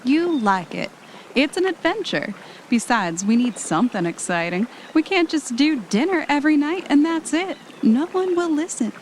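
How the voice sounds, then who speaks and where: High-voiced adventurous young podcaster played by a woman. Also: lively intonation, moderate pace, a little hiss or hum. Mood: upbeat, coaxing. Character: anxious, adventurous woman podcaster vacationing with her partner. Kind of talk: audio drama acting.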